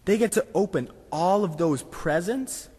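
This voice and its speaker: A man playing a curious, excitable schoolboy in a children's puppet show, with a husky voice and earnest manner. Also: sits high, unhurried, long reverb tail, full character voice.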